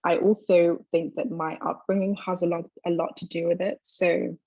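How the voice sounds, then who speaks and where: Gravelly, even-toned young London woman. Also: lowish voice, moderate pace, no room sound, dull audio. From London, England. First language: Twi. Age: 20s. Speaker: guest speaker. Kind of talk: personal motivational talk and reflective answers.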